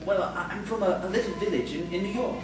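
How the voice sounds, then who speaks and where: A woman's voice: mid-range voice, quick pace, lightly acted. A woman playing a polite, timid, bookish male schoolteacher in audio theatre, hesitant and prim. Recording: distant, echoey, noisy stage recording.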